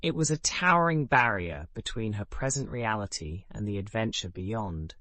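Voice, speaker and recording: A man narrating a scripted bedtime story in a calm, measured way, his sentences falling away at the ends. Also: on the low side, no echo.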